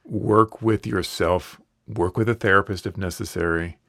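A man hosting a solo podcast, talking in an earnest, reassuring and plainspoken way while hoarse from a cold and losing his voice. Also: deep voice, steady tone, moderate pace, a bit bright, no echo, studio quality. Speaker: podcast host.

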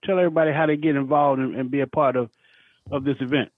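A man's voice: mid-range voice, steady tone, fast talker, no echo. A man hosting a casual radio chat, informal and often repeating his phrases. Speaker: radio host. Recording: thin phone-like radio sound.

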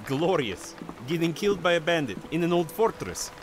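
A man's voice: a little high, sing-song, normal speed. An actor playing a chatty, teasing male travelling companion with a wry manner in a fantasy audio drama. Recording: clear rain-like background noise.

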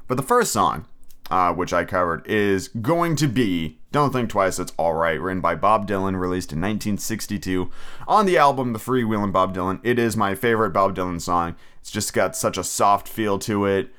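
A man's voice: low voice, animated, normal speed, a bit bright, clean tone. A man hosting his own podcast in a casual, rambling solo chat, full of fillers and restarts. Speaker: podcast host.

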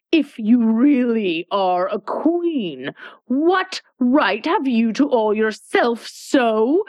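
A woman voicing a stern, sharp-tongued queen in a storybook reading, slow and scolding. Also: fairly high pitch, no room sound, lo-fi sound, voice pushed up.

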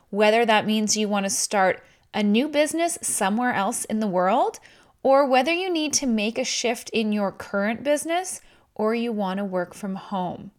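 Woman, interview host, slightly high pitch, upbeat, encouraging, conversational. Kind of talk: introducing a guest, conversational interview.

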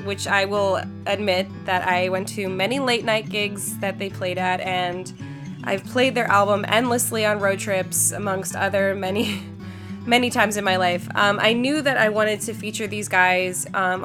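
A young woman hosting a podcast, upbeat and chatty with many "um" fillers, her voice congested from a flu. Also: medium pitch. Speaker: podcast host. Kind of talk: podcast intro and interview.

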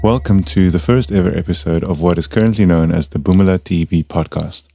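Deep-voiced relaxed South African-raised man. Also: brisk, creaky, faint music behind. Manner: relaxed, rambling with many fillers. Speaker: podcast host. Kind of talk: casual podcast chat. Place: home music studio.

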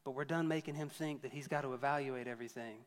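A man's voice: mid-range voice, steady tone, fast, in a large hall. A man in his thirties preaching a sermon to a church, conversational and storytelling with self-deprecating humor. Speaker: pastor.

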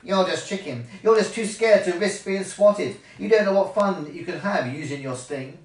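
A man's voice: a little high, animated, normal speed, a bit bright, reverberant, far from the mic, poor audio. Man as a gloating, swooping-voiced female wasp. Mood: mocking. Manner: mocking, gloating. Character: gleefully spiteful female wasp, taunting bully.

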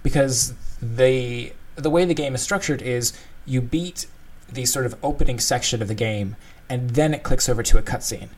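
A man's voice: mid-range voice, normal speed, few pauses. A man co-hosting a casual discussion, explaining his thoughts in a thoughtful, conversational way. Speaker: podcast co-host discussing game design.

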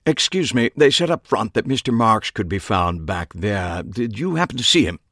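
An eccentric, tall British journalist acted by a man reading a story. He speaks in an affected, theatrical and deliberate manner with animated swings of pitch. The sound is close and dry. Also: dry recording. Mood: curious.

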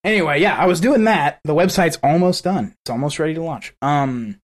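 A man's voice: on the high side, a little fast. A man co-hosting a podcast in casual chat, rambling and laughing often between thoughts. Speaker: podcast co-host.

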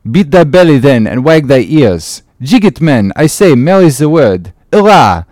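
A man voicing a lively sailor who rouses his shipmates to dance. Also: a little high, measured pace, dry recording, studio quality, pitched above the performer's own voice. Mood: rousing. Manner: rousing, exclamatory. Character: lively dance-loving sailor rallying a jig.

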